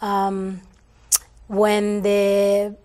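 A woman from El Salvador speaks as a guest on a studio panel. She talks slowly in a flat, even tone and halts often on "um" and "uh" as she works through her explanation. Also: medium pitch. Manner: slow, halting, many fillers. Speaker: community organization guest. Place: TV studio, panel at a long table.

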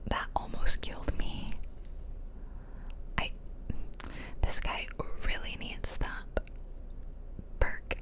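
Slow whispering woman, gaming ASMR. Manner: casual, rambling, chatty. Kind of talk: whispered ASMR gameplay commentary. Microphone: close mic for ear-to-ear whispering.

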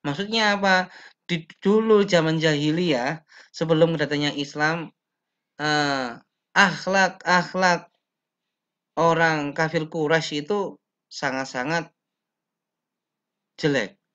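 A young man from Indonesia, a teacher, reads lesson slides aloud at a slow, measured pace, pausing and filling gaps with "eh". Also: fairly high pitch, bright, forceful tone, muffled recording. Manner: slow, measured reading with pauses and fillers. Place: indoor room.

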